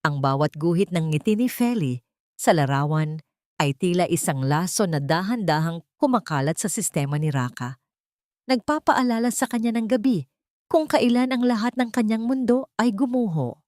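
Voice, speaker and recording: A Filipino woman reads a dramatic story in a lowish voice. Her delivery is measured and solemn, and the recording is dry and close, with very little room sound. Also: lively intonation, darkish tone, dry recording. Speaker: audiobook narrator. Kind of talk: dramatic scripted story narration.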